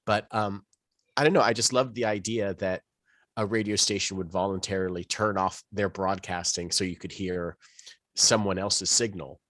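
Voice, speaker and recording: A man with a low voice talks casually on a podcast. He thinks aloud and drifts into asides as he goes. The sound is dry, with very little room on it. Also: steady tone, normal speed, fairly bright tone, dry recording. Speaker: podcast co-host.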